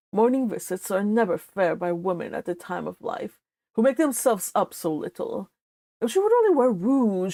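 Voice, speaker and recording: A pompous older Regency gentleman, read by a woman, vain and superficial. He talks in a condescending manner, as in a storybook read aloud. The sound is very dry and free of room echo. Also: medium pitch, vocal fry.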